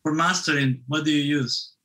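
A man's voice: on the high side, normal speed, pushed and bright. A man co-hosting an interview, asking eager, rambling technical questions. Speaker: co-host interviewer. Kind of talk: casual interview talk.

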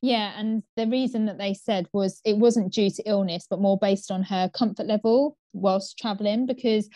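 A woman's voice: medium pitch, steady tone, moderate pace, dark tone, no room sound. Chatty English woman, dry mid voice. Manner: chatty, agreeable, lots of "you know". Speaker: podcast co-host. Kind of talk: casual chat.

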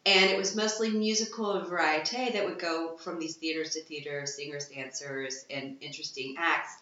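A bright-toned, fairly low-pitched woman talks eagerly as a guest, now and then bursting into excitement. The voice rings in a very echoey room, and the recording sounds dull and lo-fi. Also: normal speed. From Texas, USA. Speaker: burlesque performer, guest. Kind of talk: casual interview chat.

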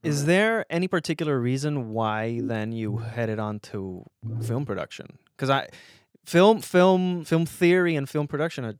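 Male interviewer, relaxed and friendly, mid pitch, dry close mic. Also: measured pace, clear, resonant tone, no room sound. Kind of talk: casual interview.

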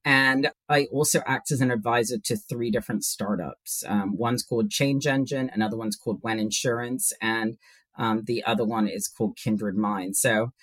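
A man's voice: mid-range voice, moderate pace, fairly bright tone, dry recording. Man with an unusual mixed accent. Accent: mixed British and international. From Hong Kong. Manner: thoughtful, hesitant with many fillers, laughs easily. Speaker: HR executive guest. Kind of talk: conversational interview answers.

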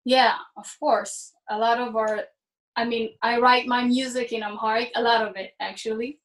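Young Israeli-born woman, musician guest, slightly high voice, halting and reflective. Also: fairly even intonation, low-quality recording. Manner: thoughtful, halting, restarts phrases. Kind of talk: interview answers.

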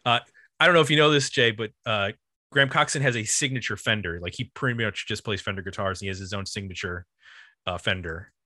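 Bright-voiced man chatting about music. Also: on the low side, quick pace, no room sound. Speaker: podcast host. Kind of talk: casual music discussion.

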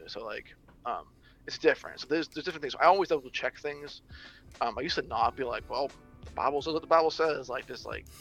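Bright-voiced man rambling casually. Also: medium pitch. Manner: rambling with many restarts and fillers. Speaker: social media creator on biblical masculinity. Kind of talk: casual conversation.

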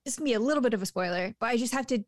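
Woman kinda high voice, rambly movie chat, laughs a lot, dead dry sound. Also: racing, no room sound. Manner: chatty, laughs often, many fillers. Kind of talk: casual movie review chat.

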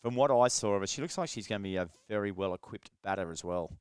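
Host: man, smooth clear voice, chatty and keen, dry close sound. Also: lowish voice, no echo. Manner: chatty, enthusiastic, quick exclamations. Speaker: podcast host, interviewer. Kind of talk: friendly interview.

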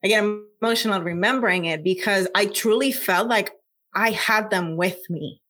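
A woman's voice: mid-range voice, normal speed, a bit bright. A woman from Puerto Rico with a Puerto Rican accent, a scientist speaking earnestly in an interview, with frequent fillers. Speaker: scientist guest. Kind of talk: conversational interview.

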